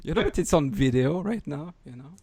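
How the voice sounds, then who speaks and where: A French man speaking English with a French accent, hosting a podcast in a rambling, excitable way and swearing freely. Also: a little high, fairly quick, husky, no echo, clean studio sound. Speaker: podcast host. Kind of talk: casual podcast chat.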